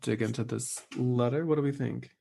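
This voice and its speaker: A man co-hosting a podcast in easygoing, measured casual chat. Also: medium pitch, steady tone, gravelly, warm tone. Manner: easygoing, measured, reads listener letter. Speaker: podcast co-host.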